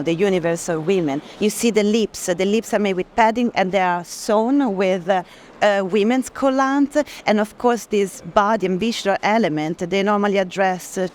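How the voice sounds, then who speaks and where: A woman giving an explanatory gallery presentation at an art fair, pausing to search for words as she goes. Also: mid-range voice. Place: busy art fair hall. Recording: clear ambient noise from a busy venue.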